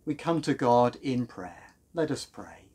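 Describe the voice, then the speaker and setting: A British minister in his fifties speaks to his congregation from a room at home. His pace is slow and his phrasing deliberate, with a mid-pitched voice and reverent delivery. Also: sing-song, mellow, breathy edge. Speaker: church minister leading a service. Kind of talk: sermon and prayer, reverent delivery. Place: small room at home, indoors.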